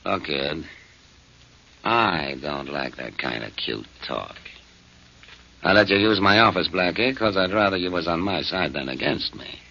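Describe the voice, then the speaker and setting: A threatening gambling-house boss in an old radio drama. His deep, gravelly voice rises and falls a lot and sounds husky. The broadcast is muffled. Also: animated, unhurried, pushed and bright, heavily acted. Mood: menacing. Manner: gruff, threatening, clipped slang. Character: menacing male gambling boss, gruff and bossy. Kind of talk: 1940s radio acting.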